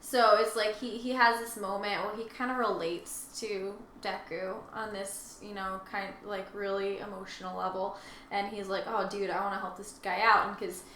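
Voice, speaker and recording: A woman with a bright, clear-edged voice at a middling pitch chats as a co-host about a story. The room is very echoey, with faint noise behind her, and her delivery is casual and conversational. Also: level tone, normal speed.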